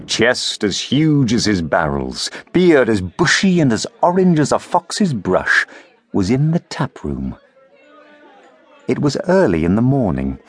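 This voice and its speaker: A man, reading a fairy tale as audiobook narrator in expressive storytelling style. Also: low voice, husky.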